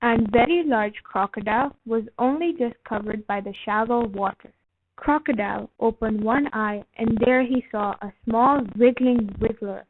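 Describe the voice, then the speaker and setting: A woman reading a children's picture book aloud in an expressive storytelling style. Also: on the high side, normal speed. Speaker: storybook reader. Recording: phone-like thin band, dry.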